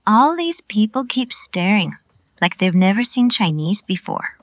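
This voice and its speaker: Watchful, uneasy Old West woman played by a woman, animated pitch, dry and slightly muffled recording, radio western. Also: unhurried, no echo.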